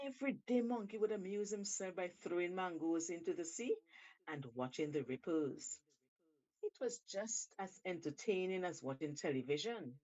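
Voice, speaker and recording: Woman reading a story, slow n animated, bit of a dull lo-fi recording. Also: lowish voice. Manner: deliberate, theatrical emphasis. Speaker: storyteller, show host. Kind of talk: expressive scripted storytelling.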